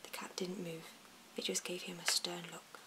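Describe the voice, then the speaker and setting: A woman reading a storybook aloud in a soft, slow, even whisper. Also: on the low side.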